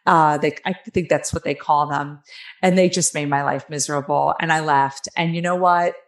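A middle-aged woman with a New Jersey accent and a raspy, nasal voice, earnestly telling her own story as an interview guest. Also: low voice, steady tone, normal speed. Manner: earnest, emphatic, with many fillers. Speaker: guest author.

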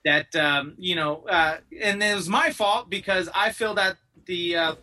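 A man chats as a guest on a podcast with a very bright, tense edge to his voice. He sits fairly high in pitch and rambles through stories with plenty of restarts and casual swearing. It is loose, easygoing talk. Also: measured pace, far from the mic. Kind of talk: casual chat.

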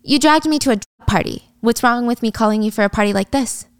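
A woman's voice: fairly high pitch, brisk, clear, resonant tone, dry recording, lightly acted. A woman voicing a defiant young businesswoman in a story, confrontational and cutting. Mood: cold.

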